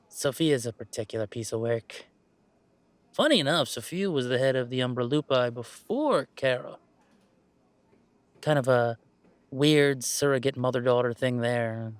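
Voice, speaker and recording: A man plays a young male werewolf gamer with an everyday, mid-pitched voice and an unhurried delivery. He talks casually and wryly, pausing as he goes, like one side of an intimate roleplay chat. The sound is close and very dry. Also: no room sound. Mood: wistful, subdued, then wry, exasperated. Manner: casual, halting, wry, conversational. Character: laid-back young male werewolf gamer, wry and chatty. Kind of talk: intimate roleplay audio, naturalistic one-sided conversation.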